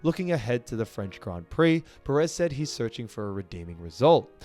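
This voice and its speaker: A man's voice: mid-range voice, big pitch swings, a little fast, darkish tone, faint music behind. A man presenting motorsport news, mixing scripted narration with casual commentary.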